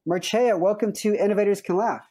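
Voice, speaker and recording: Upbeat American man hosting a podcast, mellow voice. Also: sits high, brisk, breathy edge. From United States. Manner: chatty, jokey, laughs often. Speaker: podcast host.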